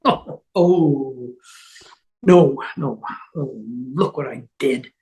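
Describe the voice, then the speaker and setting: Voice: mid-range voice, very slow, a little mellow. A reader in an amateur table read over a video call, playing an earnest, fumbling man who speaks hesitantly. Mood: flustered. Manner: hesitant, muttering repetitions.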